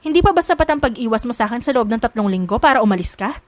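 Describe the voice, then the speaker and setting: A woman's voice: fairly high pitch, expressive, very fast, dry recording, close to an everyday voice. A woman voicing a possessive, lovestruck young rich man in a romance audio drama, insistent and pleading. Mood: hurt, tender.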